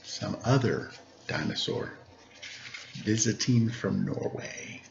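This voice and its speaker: A man's voice: fairly low pitch, lively intonation, slow pace, gravelly, dull audio, background noise. A man reading a children's picture book aloud as a storyteller, playful and mock-innocent, with casual asides.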